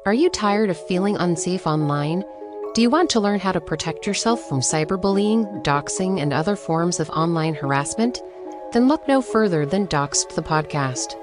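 Woman, lower-pitched podcast promo announcer, scripted advertising delivery over an audible music bed. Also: expressive, moderate pace.

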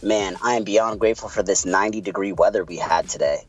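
A man with a Puerto Rican accent, co-hosting a podcast and telling stories in a relaxed, chatty way. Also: deep voice, fast talker, husky, faint music behind. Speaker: podcast co-host. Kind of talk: casual podcast storytelling.

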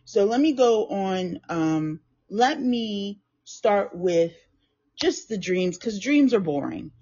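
Woman host with a froggy, husky throat, chatty and repetitive, on a somewhat muffled recording. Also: medium pitch, expressive, takes it slowly, dark tone. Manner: chatty, rambling, repeats and restarts phrases. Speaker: podcast host. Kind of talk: casual solo talk on mindset and manifestation.

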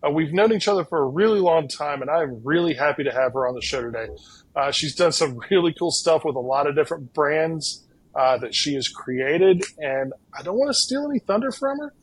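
A man's voice: fairly high pitch, breathy edge, poor audio. A man hosting a podcast interview, upbeat and friendly, talking with many fillers. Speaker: podcast host.

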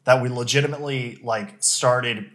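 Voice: medium pitch, fairly even intonation, moderate pace, fairly bright tone. A podcast host chatting casually on a live stream in a jokey, slightly theatrical way.

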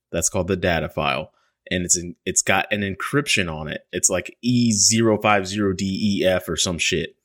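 A man's voice: low-pitched, a bit bright. A man hosting a podcast, chatting loosely and rambling, dropping a casual swear now and then. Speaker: podcast host. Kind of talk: casual chat.

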